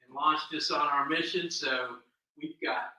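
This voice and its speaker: A man's voice: fairly high pitch, fairly even intonation, a little slow, pushed and bright. A man chatting casually as a guest on a show. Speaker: fan club chapter officer, show guest. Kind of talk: casual chat. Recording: very echoey room, far from mic.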